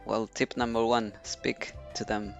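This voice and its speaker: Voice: medium pitch, little pitch movement, measured pace, vocal fry. A game streamer giving casual live commentary with dry, playful reactions. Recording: muffled stream mic, game music behind.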